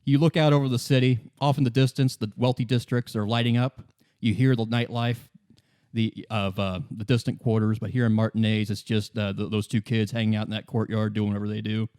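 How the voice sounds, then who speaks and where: A man narrating an improvised tabletop game as game master in a loose, halting, conversational way. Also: on the low side, flat delivery, darkish tone, clear, resonant tone, dry recording, clean studio sound. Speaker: game master, podcast host.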